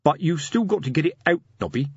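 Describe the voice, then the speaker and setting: A man plays a gloomy veteran sergeant from a fantasy storybook. He grumbles ponderously in a husky, animated voice. The recording is very dry. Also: fairly high pitch, fairly quick, no room sound, lo-fi sound, pitched above the performer's own voice. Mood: doubtful.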